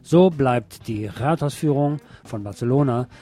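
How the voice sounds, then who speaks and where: A man's voice: medium pitch, a little slow, dark tone. A man presenting news items as a scripted magazine-style read. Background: music.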